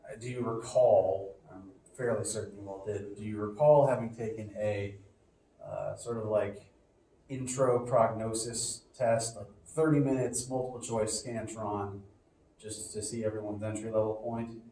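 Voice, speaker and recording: A lower-pitched, mellow-toned man teaching a physics class, loose and chatty, stumbling through fillers and restarts. He sounds a bit sniffly. The sound is echoey and distant, from a phone left across the classroom. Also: steady tone, normal speed, slight background noise. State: sniffly from allergies. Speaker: physics professor. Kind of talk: casual classroom lecture. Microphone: phone recording from across the room.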